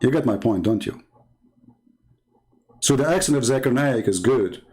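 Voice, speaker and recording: A man's voice: medium pitch, expressive, moderate pace, warm tone. A middle-aged man with an Arabic accent, mocking and scornful as he argues with online commenters in combative commentary. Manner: mocking, scornful, sarcastic laughs. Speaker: online debater.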